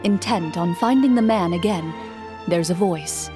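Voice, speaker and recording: A woman narrating a fantasy quest in the second person with measured storytelling. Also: on the low side. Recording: with music behind.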